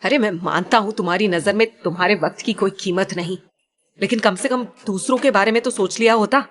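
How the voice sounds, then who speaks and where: A woman narrating a story audiobook in a steady, even storytelling voice. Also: medium pitch, a little fast.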